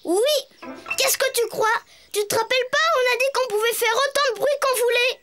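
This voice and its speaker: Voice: very high voice, fairly even intonation. An actor voicing a boisterous young robot boy in a French cartoon dub, carefree, enthusiastic and emphatic. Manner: childlike, emphatic, drawn-out delivery. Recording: voice under loud cartoon music.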